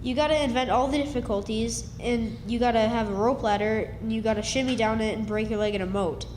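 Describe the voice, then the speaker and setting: A woman's voice: on the high side, brisk, a little mellow, slight background noise, natural voice. A woman playing an earnest, scheming runaway country boy in a radio play, with folksy speech. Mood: eager, scheming. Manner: folksy, eager. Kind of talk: stage radio drama acting. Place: live stage in a hall. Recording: reverberant hall recording.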